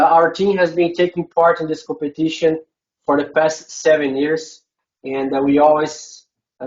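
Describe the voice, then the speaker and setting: A man's voice: on the high side, darkish tone, dull audio. A man from Brazil with a Brazilian Portuguese accent, a non-native English speaker, giving a conference presentation with many hesitant filler sounds.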